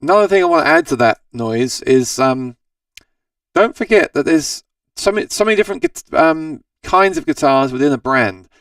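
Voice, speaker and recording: A man's voice: mid-range voice, lively intonation, moderate pace, a bit bright, clean tone, no room sound, distant mic. A man hosting a casual live stream about guitars, answering viewer comments in a rambling, self-correcting way, his voice tired and scratchy. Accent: Australian. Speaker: host of a live gear Q&A stream.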